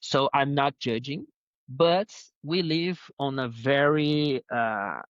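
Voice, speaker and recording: A man speaking accented English in a slow, halting way, with frequent "uh"s and restarts. His voice sits at a middle pitch and sounds dry and close. The recording is a bit dull, as on a remote call. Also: a bit bright, no echo. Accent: Spanish-speaking. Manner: halting, many fillers and restarts. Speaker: guest, head of design. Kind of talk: interview answers.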